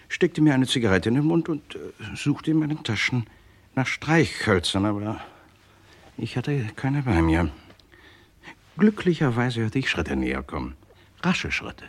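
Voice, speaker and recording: A low, husky male voice plays a struggling gentleman crime writer in a 1950s radio play. He is chatty and courteous, wandering into wry, hesitant asides and gently mocking himself. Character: struggling English crime writer, genteel, chatty, self-deprecating man.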